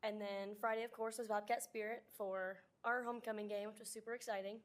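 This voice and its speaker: A teenage girl giving a student report to a school board, with a hoarse, raspy voice. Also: level tone, a little fast. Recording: faint rumbling noise.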